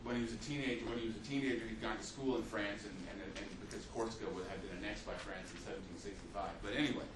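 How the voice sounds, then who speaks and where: A man's voice: fairly low pitch, steady tone, fast talker, noisy background. A man giving an unscripted history lecture as a teacher, telling it like a story, with many fillers and restarts. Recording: echoey room, mic at a distance.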